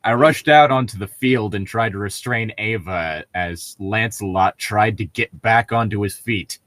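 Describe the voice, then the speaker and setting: A man's voice: on the low side, unhurried, fairly bright tone, no room sound. A man reading fan fiction aloud in a casual group, breaking off for sarcastic, nitpicking comments.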